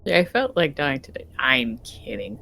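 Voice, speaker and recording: A man's voice: mid-range voice, big pitch swings, normal speed, vocal fry, bright, forceful tone. A man chatting casually as a player in a tabletop role-playing game.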